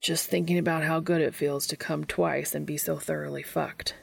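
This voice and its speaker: A woman's voice: low-pitched, little pitch movement, normal speed, gravelly, breathy edge, full character voice. A woman voicing a cheeky young man in an audio fiction reading, a bashful new lover who wisecracks in casual slang. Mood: satisfied.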